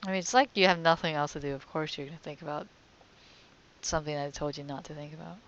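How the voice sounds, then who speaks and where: A woman's voice: low voice, steady tone, fast talker, a bit bright, slight background noise. A woman giving casual let's-play commentary as the host of a gaming video. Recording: slightly dull, lo-fi voice track. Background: game music.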